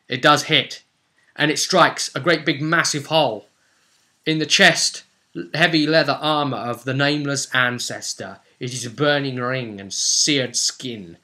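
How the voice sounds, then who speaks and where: A man's voice: medium pitch, pushed and bright. A man narrating a tabletop role-play as game master, theatrical and dramatic, in short punchy phrases.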